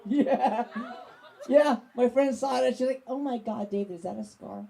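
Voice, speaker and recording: A man from Hawaii performing a stand-up comedy set in a club, loud and playful and laughing at his own jokes. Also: very high voice, moderate pace, vocal fry. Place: comedy club stage with audience. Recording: live club with crowd noise.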